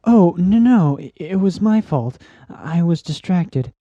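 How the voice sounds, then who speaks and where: A woman's voice: on the low side, lively intonation, slow pace, dark tone, dry recording. A woman voicing a shy young male pegasus pony in a cartoon-style story, hesitant, stammering and apologetic, in a dull dry recording. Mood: flustered.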